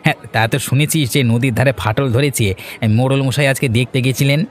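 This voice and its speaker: A man's voice: mid-range voice, steady tone, fast talker, a faint crowd, a little hiss or hum. A man voicing a village fisherman in a cartoon, talking in an easygoing way to his wife and a friend. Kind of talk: cartoon dialogue.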